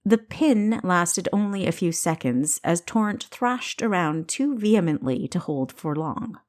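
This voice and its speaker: Low-pitched woman narrating an action story. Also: expressive, unhurried, a little mellow. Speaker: audiobook narrator and author.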